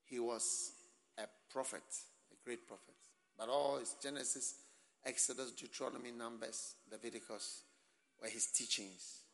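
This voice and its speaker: A man with a Ghanaian English accent preaching a sermon at a church camp, swinging from soft asides to shouting and calling out to the crowd for answers. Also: mid-range voice, steady tone, normal speed, gravelly. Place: large hall. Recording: long reverb of a large hall.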